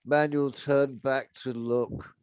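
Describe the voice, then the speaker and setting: A man's voice: medium pitch, little pitch movement, slow, a little mellow, clean tone. A man reading a story aloud unrehearsed, narrating and now and then stumbling over words and rereading. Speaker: reader of a book aloud.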